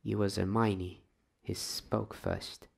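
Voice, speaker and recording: A man reading a story aloud in a soft, hushed, breathy ASMR style, slipping at times into whispers. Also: fairly low pitch, flat delivery, slow.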